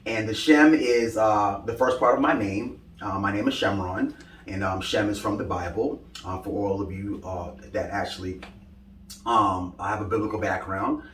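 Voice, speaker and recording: A man talks in a low, easygoing voice, stringing his answers together with plenty of fillers. He sounds upbeat as he talks about his passion. The sound is roomy and echoey, with the mic some way off from him. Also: moderate pace, a bit bright, slight background noise. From Brooklyn, New York. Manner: upbeat, talks in loose run-ons with many "you know" fillers. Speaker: brand founder, interviewee. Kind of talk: casual interview answers. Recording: echoey room, mic at a distance.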